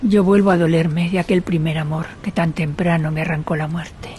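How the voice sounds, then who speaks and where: A woman's voice: sits low, level tone, normal speed, mellow, a little hiss or hum, natural voice. An actress playing a dignified, grieving queen who recalls her youth in a solemn, literary radio drama monologue. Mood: sorrowful, solemn.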